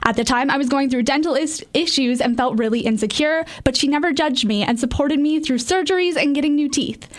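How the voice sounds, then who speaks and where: A woman's voice: sits high, brisk, hardly any pauses. A young woman, a student broadcaster, reading listener messages on air and chatting agreeably. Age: young adult. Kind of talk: reading messages aloud and casual chat.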